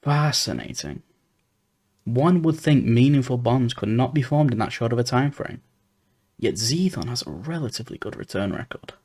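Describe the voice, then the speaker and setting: A man's voice: medium pitch, big pitch swings, moderate pace, a little mellow. Man acting a stiff, bookish alien scientist. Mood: detached, curious. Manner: stiff, precise, bookish phrasing. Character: formal, socially awkward, analytical male alien scientist. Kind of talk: audio roleplay acting.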